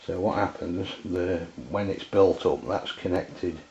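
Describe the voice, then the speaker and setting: A man with an English accent and a very low voice talks through a hands-on review. His delivery is flat and unscripted, with plain wording and frequent hesitations. The sound is dull and lo-fi, as from a handheld camera's microphone. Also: slight background noise. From England. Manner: hesitant, halting, plain-spoken. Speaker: amateur radio reviewer. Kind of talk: unscripted product review demonstration.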